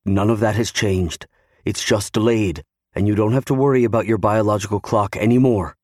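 A man's voice: fairly low pitch, fairly even intonation, moderate pace, darkish tone, dry recording, studio quality, natural voice. A man voicing a steadfast young navy serviceman, earnestly reassuring his wife in an audiobook. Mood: gentle, steadfast. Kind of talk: audiobook character acting.

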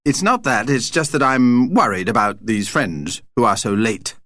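Harried family dad for a storybook, read by a male narrator: polite, forced joviality, lively intonation. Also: mid-range voice, normal speed, no room sound, clean studio sound, close to an everyday voice. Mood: awkwardly jovial. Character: harried middle-class father, trying to sound jovial.

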